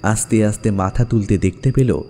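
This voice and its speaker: A man narrating a scripted horror story dramatically, with suspenseful pauses. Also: lowish voice, mellow, a little hiss or hum.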